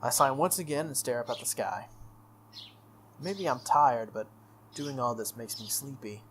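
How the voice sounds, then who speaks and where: A man hosting a game playthrough, reading a visual novel aloud in an animated way with casual asides. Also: medium pitch, moderate pace, a little hiss or hum. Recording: music playing behind the voice. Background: game music.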